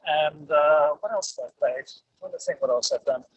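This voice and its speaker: A man in a casual interview, speaking in a hesitant, halting, self-deprecating way as an amateur actor. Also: on the high side, slightly rough, low-quality recording.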